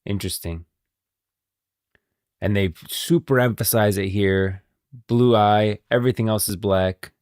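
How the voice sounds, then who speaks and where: Low-voiced man streaming, dry close sound. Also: unhurried, pauses often, darkish tone, dry recording. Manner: emphatic, repetitive, slangy. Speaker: live streamer. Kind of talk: casual live-stream commentary on game lore.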